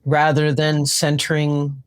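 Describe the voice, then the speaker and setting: A woman's voice: sits low, monotone, slow pace, clear, resonant tone. A woman with a New York accent, explaining her work as a guest in an interview. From New York, United States. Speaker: podcast guest, consultant and trainer.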